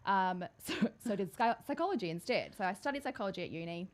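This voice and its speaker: A woman's voice: mid-range voice, expressive, quick pace. A young adult woman from Australia, with an Australian accent tinged by New Zealand, talking casually and with self-deprecating humour as a podcast guest. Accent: Australian, partly New Zealand-tinged. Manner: chatty, self-deprecating, laughs easily. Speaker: podcast guest, style coach. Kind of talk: casual chat.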